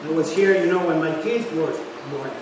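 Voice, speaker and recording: Man lecturing in an echoey temple hall. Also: on the high side. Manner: halting, many fillers; leads call-and-response chanting. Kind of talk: sermon. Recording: echoey hall, mic at a distance, noisy.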